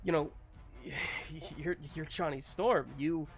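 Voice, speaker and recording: A man narrating a tabletop roleplaying game as game master, in an animated, casual storytelling manner with slangy asides. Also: a little high, takes it slowly, soft background music.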